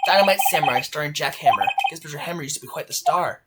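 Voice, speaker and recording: A man's voice: a little high, animated, very fast, heavy background noise, natural voice. A man voicing a wry, hesitant young lawyer in a video game fandub, musing with understated sarcasm. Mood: dry, wry.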